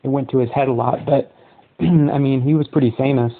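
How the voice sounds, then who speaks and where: A man interviewing a guest by phone, asking questions in a measured, conversational way. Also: medium pitch, steady tone, vocal fry. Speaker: interviewer, channel host. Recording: tinny phone line.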